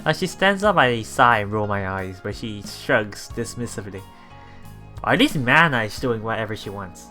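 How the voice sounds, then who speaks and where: A man doing a let's play, reading story narration aloud with casual commentary, halting and chuckling as he goes, with game music behind him. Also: fairly low pitch, animated, pushed and bright. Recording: voice over game background music.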